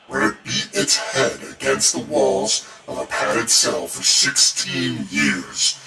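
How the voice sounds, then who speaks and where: Very deep-voiced man narrating horror, echoey. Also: gravelly, background noise. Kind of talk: dramatic bedtime horror story narration. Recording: roomy echo, distant, music behind. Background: music bed.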